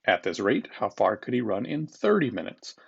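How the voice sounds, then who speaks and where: A man's voice: lowish voice, very animated, normal speed, gravelly, breathy edge, dull audio. A man speaking as a teacher, reading test questions aloud to students in an informal, instructive manner and repeating them.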